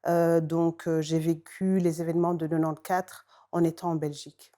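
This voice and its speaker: A woman from Rwanda introducing herself, measured and with many hesitations. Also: low voice, little pitch movement, dark tone. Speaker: older sister introducing herself. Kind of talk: spoken self-introduction.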